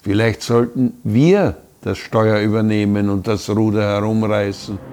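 A man's voice: on the low side, steady tone, measured pace, darkish tone, a little hiss or hum, close to an everyday voice. A man playing a brooding, suspicious, mutinous seaman in a radio play. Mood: defiant. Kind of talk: slow radio play monologue.

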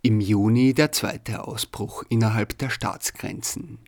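A man's voice: lowish voice, unhurried, vocal fry, darkish tone. A man with an Austrian accent, hosting a radio programme and reading scripted narration. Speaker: radio show host.

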